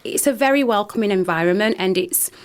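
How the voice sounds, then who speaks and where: A woman's voice: mid-range voice, a little fast, smooth. A woman guest on a radio show, earnestly telling her own story with frequent restarts. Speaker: guest, founder of a wellbeing company. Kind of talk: radio interview. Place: radio studio.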